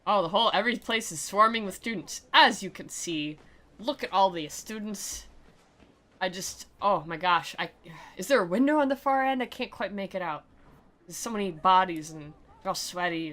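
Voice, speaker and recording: A woman's voice: mid-range voice, sharp tone. A woman giving sarcastic, theatrical commentary as she plays and reads aloud from a video game, in a mocking, giggle-prone way. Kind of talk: sarcastic playthrough commentary with dramatic reading.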